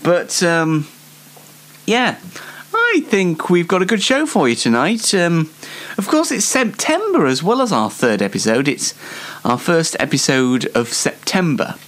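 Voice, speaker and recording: Male host, aged twenty, unhurried and very animated, playfully theatrical, self-deprecating comedic chat. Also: fairly high pitch. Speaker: host of a YouTube show. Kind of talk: chatty comedic monologue.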